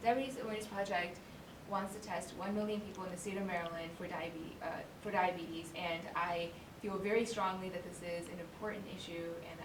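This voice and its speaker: A woman's voice: fairly low pitch, steady tone, a little fast, few pauses, recorded from a distance. A woman interviewing off camera, coaching and encouraging her subjects with prompts. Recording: roomy, off-mic with background noise.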